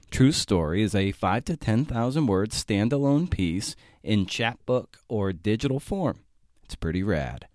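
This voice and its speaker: Low-voiced male podcast host. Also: a little mellow, dry recording, studio quality. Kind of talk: scripted podcast intro and interview questions.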